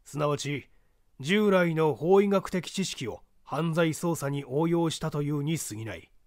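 A man's voice: fairly high pitch, measured pace, no echo, clean studio sound, close to an everyday voice. A man voicing an opinionated professor of forensic medicine in a dramatic audiobook reading, declaiming in a didactic, emphatic way. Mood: emphatic, assertive.

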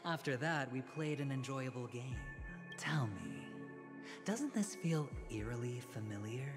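An actor playing a theatrical male gambler in a game, speaking deliberately and invitingly with an ominous edge. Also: mid-range voice, lively intonation, close to an everyday voice. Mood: knowing, eerie. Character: smooth, theatrical male gambler toying with his guest. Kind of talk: video game cutscene acting. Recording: game audio under loud music.

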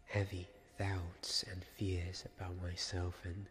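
A young man playing a devoted, weary knight in a soft-spoken ASMR roleplay, halting and often slipping into a whisper. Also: low voice, monotone. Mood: hushed, wondering. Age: young adult. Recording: long cavernous reverb, faint background ambience.

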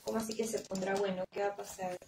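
A woman's voice: mid-range voice, flat delivery, fast talker, echoey room, background noise. A young woman with a Peruvian Spanish accent, chatting casually with viewers and exclaiming at herself while she streams a game. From Peru. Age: young adult. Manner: chatty, reads chat aloud, exclaims at herself. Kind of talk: casual live-stream chat while gaming. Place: room indoors at a computer.

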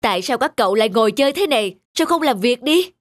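A woman's voice: on the high side, expressive, fast talker, no echo, clean studio sound, lightly acted. A woman voicing a strict, fretful middle-aged master carpenter in a cartoon folk tale, with a dramatic, exclaiming delivery. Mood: stern. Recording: music behind the voice.

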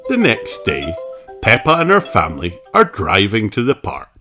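A man's voice: on the low side, wildly swooping pitch, normal speed, over music. A man reading a children's storybook aloud as narrator. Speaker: storybook reader.

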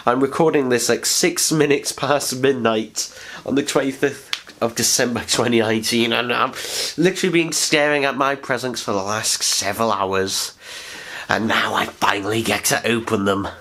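A man's voice: medium pitch, steady tone, moderate pace, a bit bright. A young man, sounding nasal from a cold, chatting casually and rambling through an unboxing in his living room at night, close to a camera. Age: young adult. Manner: chatty, rambling, self-mocking asides. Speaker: vlogger. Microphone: camera on a tripod, sitting close.

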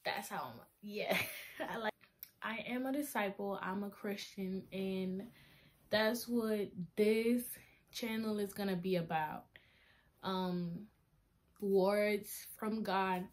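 A woman's voice: medium pitch, steady tone, takes it slowly. A seventeen-year-old girl from Honduras with a Honduran Spanish accent, chatting to a webcam in her small bedroom and often restarting her sentences. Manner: chatty, restarting and laughing at herself. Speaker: vlogger sharing testimony. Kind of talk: casual talking-head vlog. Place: small bedroom with a flag on the wall. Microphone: webcam in front of her face.

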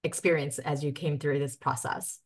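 A woman moderating a virtual author panel from a home office over a video call, speaking softly and encouragingly. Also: sits low, fairly even intonation, normal speed, husky.

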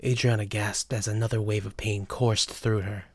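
A man's voice: on the low side, level tone, moderate pace, husky, dry recording, clean studio sound. A man narrating a scripted story for an audio drama.

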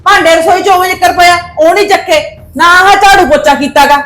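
Casting: a woman as a bossy scolding mother, high-voiced and sharp. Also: brisk, reverberant. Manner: nagging, sharp, domineering. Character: scolding harsh mother of grown daughters. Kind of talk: short-film drama acting.

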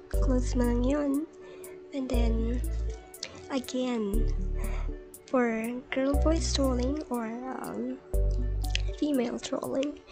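A woman with a high voice and a Filipino accent narrates a casual how-to guide. She takes it slowly, step by step, and keeps checking in as she instructs. Music plays clearly behind her. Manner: instructional, often checking in with "okay?". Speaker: tutorial host.

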